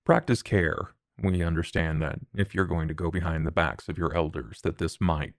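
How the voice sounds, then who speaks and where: A poised vampire, as a man plays him, speaking in formal, measured sentences. The voice is very deep and gravelly, recorded close and dry. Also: dry recording. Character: composed, formal male vampire elder.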